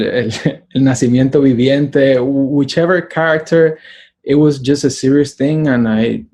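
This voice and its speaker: A man from Puerto Rico, a music producer, speaking slowly and thoughtfully in a casual interview. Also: medium pitch, mellow. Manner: reflective, slow, thoughtful pauses. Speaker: sound designer and music producer.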